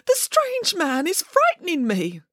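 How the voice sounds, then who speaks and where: A woman voicing a huge but timid, childlike male dancing bear from a children's story, with a whiny, naive, excitable manner. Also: on the high side, moderate pace, no room sound, clean studio sound, voice pushed up. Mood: frightened, pleading.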